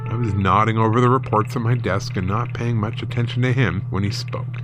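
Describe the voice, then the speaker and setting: A man's voice: fairly low pitch, steady tone, a little fast. A man narrating a first-person horror story in a dramatic storytelling style. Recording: music bed under the voice. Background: background music.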